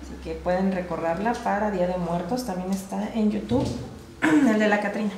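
A woman, a chef calmly explaining steps as she works during a live class in a tiled kitchen. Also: mid-range voice, brisk. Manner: calm, explains steps while working with her hands. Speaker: gelatin art instructor. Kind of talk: live cooking class demonstration. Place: large tiled professional kitchen. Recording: echoey kitchen, noisy livestream audio.

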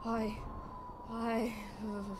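A terrified old shepherd, played by a woman, trembling and halting in a radio play. Faint ambient noise sits behind the voice. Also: on the high side, flat delivery. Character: old shepherd man, half dead with fright. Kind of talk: radio drama acting.

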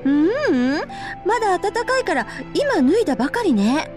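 A nosy, playful big sister from an anime game. Her voice sits high and swings widely in exaggerated, teasing phrases, delivered at a slow pace. Music plays behind her. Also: sing-song. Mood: suspicious probing. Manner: theatrical, singsong, exaggerated. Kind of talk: Japanese anime-style game voice acting. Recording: background music under the voice.

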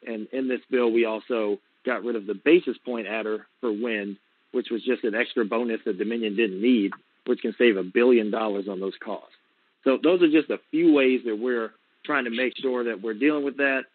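A man's voice: medium pitch, moderate pace, no room sound. Man on a tinny phone line. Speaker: advocate answering questions on a conference call. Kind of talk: unscripted explanatory answer. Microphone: telephone conference call.

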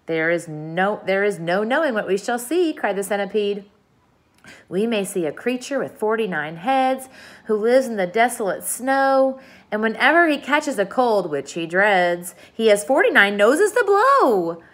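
A woman reading aloud as a grinning, boastful male centipede, chanting rhymes with a lively singsong delivery. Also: medium pitch, measured pace, voice pushed up. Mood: gleeful.

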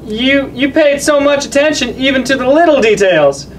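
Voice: high voice, animated, normal speed, slight background noise, rough recording. A performer voicing a mild, good-natured dad puppet in a children's show, hesitant and folksy. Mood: polite, pleased.

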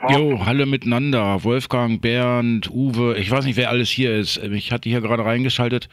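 A man's voice: medium pitch, steady tone, moderate pace, no room sound, clean studio sound. A man chatting casually as an amateur radio operator.

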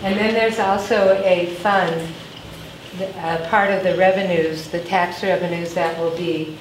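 Low-voiced woman, calm and informed, picked up off-mic in an echoey room. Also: distant mic, background noise. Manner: informed, measured. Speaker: audience member, activist. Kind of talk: speaking up from the audience. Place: event room, indoors.

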